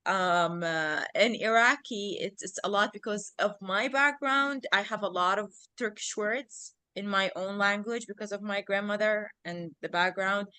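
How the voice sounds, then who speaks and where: A woman's voice: mid-range voice, a little slow, hardly any pauses, pushed and bright, smooth, no room sound. A woman in her thirties from Iraq whose first language is Arabic, chatting as a podcast guest in a rambling way, often correcting herself mid-sentence. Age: 30s. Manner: rambling, self-correcting, many fillers. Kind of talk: casual chat.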